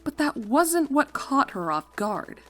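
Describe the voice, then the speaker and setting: A woman reading fan fiction as narrator, expressive and dramatic, leaning on key words. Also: high-pitched, takes it slowly, soft background music. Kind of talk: expressive scripted story narration.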